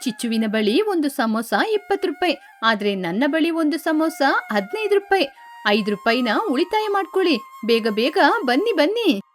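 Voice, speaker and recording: A woman plays a boastful female sparrow from a children's cartoon, with a very high, girlish voice. She is a pushy, scheming snack seller, and music plays behind her. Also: sing-song, moderate pace. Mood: eager hawking. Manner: pushy street-vendor sales talk. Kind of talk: animated children's story dialogue.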